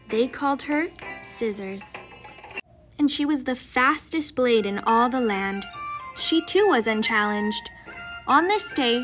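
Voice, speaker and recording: Lady reading a picture book like an epic legend, slow and theatrical, music playing behind. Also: high-pitched, a bit bright. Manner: theatrical, mock-epic delivery. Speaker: children's picture book narrator. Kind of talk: dramatic storybook read-aloud.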